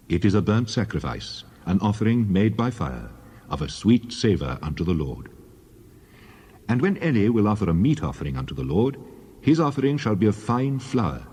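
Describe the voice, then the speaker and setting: A man playing God as a solemn, commanding lawgiver in a dramatized scripture reading, speaking in measured declarations. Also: fairly low pitch, dark tone, husky. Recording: long cathedral-like reverb.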